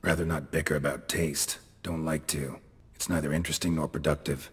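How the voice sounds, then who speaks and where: Very deep, husky, deadpan monster hunter. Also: male voice, fairly quick, big-room sound, heavily acted. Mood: dismissive. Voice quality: gravelly, husky. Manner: terse, dry, deadpan. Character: gruff, grumpy male monster hunter, blunt and unimpressed. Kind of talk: video game voice acting.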